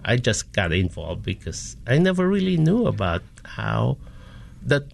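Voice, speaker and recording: A man with a Filipino accent, from the Philippines, answering interview questions in halting, measured phrases. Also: mid-range voice, sing-song, creaky. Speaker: interview guest, community historian.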